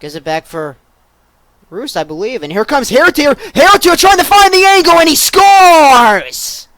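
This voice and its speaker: High-pitched young male sports play-by-play announcer. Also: unhurried, a crowd in the background. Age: young adult. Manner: excitable, bursting into shouts on big plays. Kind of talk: live play-by-play sports commentary.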